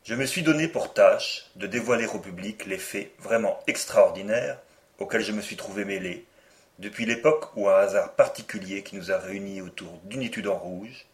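A man reading a first-person story aloud as an audiobook, with a solemn, deliberate delivery. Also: lowish voice. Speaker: audiobook reader.

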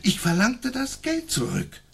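Outraged merchant in a radio play, raspy, voice jumping all over, really emphatic. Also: male voice, extremely high pitch, wildly swooping pitch, unhurried, fairly bright tone, heavily acted. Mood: indignant. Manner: emphatic, aggrieved. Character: indignant leather merchant making an accusation.

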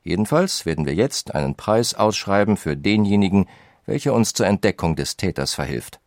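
A nineteenth-century industrialist writing to his brother. The reading is firm and emphatic, in a fairly low voice. It is recorded dry and close. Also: animated, normal speed, darkish tone, no echo. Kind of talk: reading historical letters in a radio feature.

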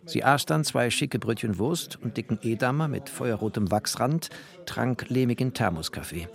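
An actor playing a wry, bookish man who narrates a literary radio play in a mannered, expressive way. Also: medium pitch, moderate pace, gravelly, close to an everyday voice. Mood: wry, observant. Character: bookish, wry first-person narrator, a former interpreter.

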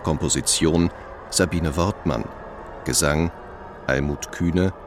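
Credits announcer: man, bass-deep, slow, formal, music bed underneath. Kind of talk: scripted radio drama announcement.

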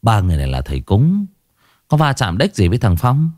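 A man voicing a blunt male police investigator who grills captured thugs in a gruff, coarse way. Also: fairly low pitch, huge pitch swings, a little fast, darkish tone, dry recording, studio quality. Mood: stern grilling. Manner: gruff interrogating, coarse-spoken.

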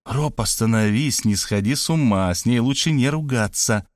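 A man's voice: medium pitch, moderate pace, no echo, studio quality, lightly acted. Man acting a blunt, protective male buddy. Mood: urgent. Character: blunt, hearty male friend, protective and loud.

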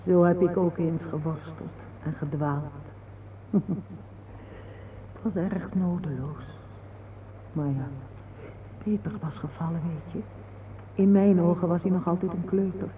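A thin, noisy old radio play recording carries a gentle older mother played by a woman. She speaks slowly and patiently, consoling and solemn, with a serene sorrow for her lost son. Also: lowish voice, steady tone, phone-quality sound, natural voice. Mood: quiet grief. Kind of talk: solemn radio drama acting.

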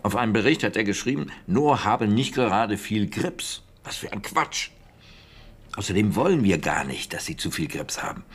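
A chatty wartime intelligence officer in a radio play, husky, emphatic, pitch jumps around. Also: male voice, big pitch swings, moderate pace. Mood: animated, confiding. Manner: conversational, emphatic, with hesitations and scoffing. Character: talkative British wartime intelligence officer, defensive of his recruit. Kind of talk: German radio drama dialogue.

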